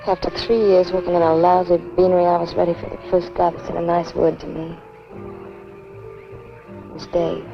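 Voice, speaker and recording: Creaky-voiced, world-weary American backwoods wife. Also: female voice, lowish voice, level tone, moderate pace, pauses often. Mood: weary, confiding. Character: world-weary, flirtatious backwoods wife, bitter about her past. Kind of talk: 1950s B-movie film acting. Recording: muffled old film soundtrack with music.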